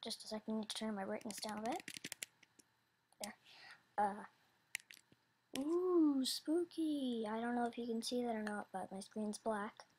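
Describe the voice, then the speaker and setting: A woman giving a casual, unscripted announcement as an animation creator, halting and scatterbrained, often interrupting herself, in a husky, breathy voice. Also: on the high side, darkish tone, slight background noise.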